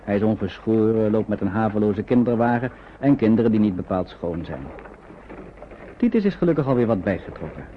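A man's voice: fairly low pitch, lively intonation, brisk, slight background noise, natural voice. An actor narrating a scripted radio drama about wartime refugees in a man's storytelling voice. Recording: muffled phone-like old radio recording.

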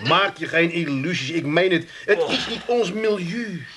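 A man's voice: on the high side, expressive, measured pace, crowd noise. An actor playing a blunt, sarcastic, middle-aged Amsterdam working man in a comic radio play, needling his family. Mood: earnest, warning. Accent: Amsterdam Dutch.

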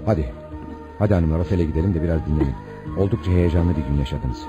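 A man's voice: rumbling low, fast, muffled recording, over loud music. An actor playing a protective, kindly family man, firm and reassuring, in a radio drama.

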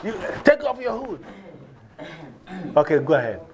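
A man's voice: high voice, wildly swooping pitch, unhurried, a crowd in the background. A man with a Ghanaian accent giving informal classroom instruction, directing people and repeating his instructions. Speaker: teacher of a drumming and English class.

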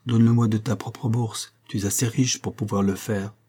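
A man's voice: on the low side, steady tone, normal speed, mellow, slightly rough. A man voicing a proud, passionate young married woman pleading with her father in an audiobook story. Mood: demanding. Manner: imperious and emphatic, swinging to pleading.

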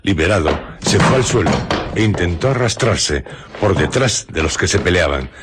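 Radio drama narrator, male: extremely deep, measured, dramatic, faint background noise. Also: expressive.

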